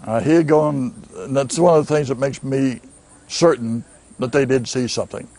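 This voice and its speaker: A man giving an unscripted recollection as a documentary interviewee, in a mid-pitched voice. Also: moderate pace, darkish tone, rough recording.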